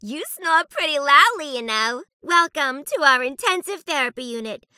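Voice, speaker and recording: An actress playing a perky but sinister reaper nurse in a video game, sugary and polite with menace underneath. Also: female voice, sits high, lively intonation, moderate pace, bright, forceful tone, no room sound, studio quality, full character voice. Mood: perky, teasing. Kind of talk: theatrical video game character acting.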